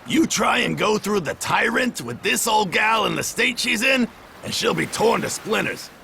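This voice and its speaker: A man playing a gruff, seasoned seafarer in a video game, gravelly and folksy with clipped dialect phrasing. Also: sits high, expressive, moderate pace, bright, forceful tone, a little hiss or hum, exaggerated voice. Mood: cautioning. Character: gruff seasoned male Norman seafarer, plain-spoken elder.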